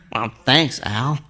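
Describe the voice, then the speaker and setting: An actor playing a mild, henpecked amateur inventor in a radio drama, hesitant and deferential with a slight stammer. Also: male voice, mid-range voice, sharp tone, heavily acted. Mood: eager, friendly.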